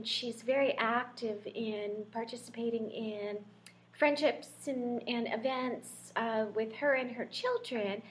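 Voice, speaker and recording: An upbeat woman hosts a casual podcast, welcoming her guest with warm enthusiasm. Her voice sits a little high. A faint bit of room ambience runs under the recording. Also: slight background noise. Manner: upbeat, welcoming, gushing intros. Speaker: podcast host.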